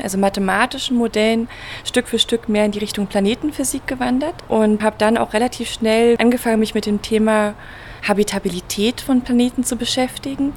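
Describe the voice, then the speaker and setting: Woman scientist explaining patiently, faint noise. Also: a little high. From Berlin, Germany. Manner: explains patiently with everyday examples, some fillers. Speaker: planetary scientist, interviewee. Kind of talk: conversational explanation. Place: event venue.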